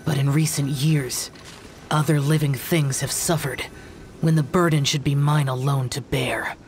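A man's voice: a little high, fairly even intonation, unhurried, a little hiss or hum, close to an everyday voice. An actor playing an aloof young male demon-hunting warrior in a video game, speaking in a formal, cold and grave way.

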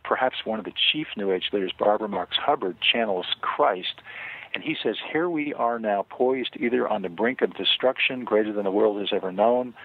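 A man's voice: on the low side, no room sound. Older man on a tinny phone line. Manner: earnest, measured, with frequent pauses and fillers. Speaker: author and interview guest. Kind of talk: radio interview, earnest commentary.

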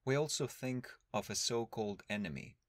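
A man leading a guided meditation as a teacher, speaking in a calm, measured, instructional way with long pauses. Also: mid-range voice, dry recording.

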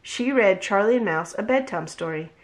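Woman, 30s, home read-aloud host; expressive, animated storytelling voice, webcam at desk. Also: medium pitch, normal speed. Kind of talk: reading a picture book aloud. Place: home room, indoors. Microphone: webcam at desk distance.

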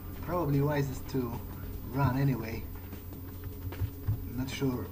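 A man's voice: mid-range voice, steady tone, a little slow, background noise. A man giving a casual running commentary on the game he is playing. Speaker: gamer narrating own play. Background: game music.

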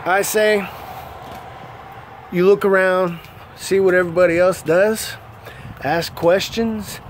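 Profane trucker talking outdoors over traffic. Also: male voice, sits high. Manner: casual and profane, rambling with restarts. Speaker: flatbed truck driver. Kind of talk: casual how-to vlog. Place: outdoors beside a flatbed trailer. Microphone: handheld phone camera, close. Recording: loud outdoor noise. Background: traffic and vehicle noise.

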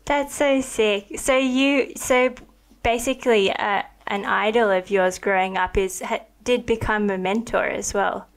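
A young woman hosting a podcast interview, talking in an enthusiastic and thoughtful way with many likes, into a boom-arm mic in a home studio. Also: fairly even intonation, unhurried. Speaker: podcast host. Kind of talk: conversational interview. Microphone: studio mic on a boom arm with headphones.

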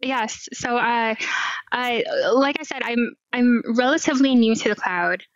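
A woman's voice: fairly high pitch, a little slow, clear, resonant tone, dry recording. A middle-aged woman from Hawaii, a guest on a video-call interview, speaking thoughtfully with small restarts through a headset mic. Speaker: guest, cloud AI expert. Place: home room with window blinds. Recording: slightly dull lo-fi call audio.

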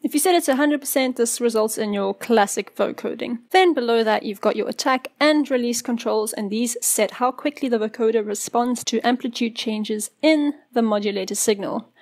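A woman with a slightly high voice presents a software tutorial. She explains each point in a casual, instructional way. The recording is close-miked and fairly dry. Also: moderate pace, few pauses, dry recording.

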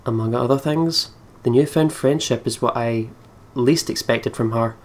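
Male gamer, mid-pitched, narrating visual novel text aloud in a storytelling style. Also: normal speed. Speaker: let's play co-host reading aloud.

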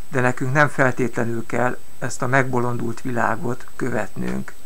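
Gravelly, bright-voiced man explaining formulas. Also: medium pitch. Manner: measured, explanatory, offers personal opinions. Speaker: app developer explaining stock valuation formulas. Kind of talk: screen-recorded tutorial. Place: small home room, indoors.

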